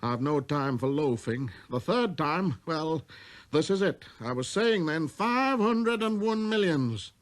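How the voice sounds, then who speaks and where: Man playing a fussy, animated storybook businessman. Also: a little high, slow, pitched above the performer's own voice. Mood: irritated, fussy. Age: middle-aged. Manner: muttering, irritable, hesitant when counting. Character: busy, fussy, self-important older businessman.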